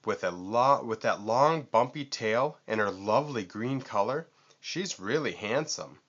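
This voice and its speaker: A man's voice: mid-range voice, expressive, unhurried, a bit bright, lo-fi sound. A man voicing an eager, helpful male lion in a children's story, earnestly making suggestions.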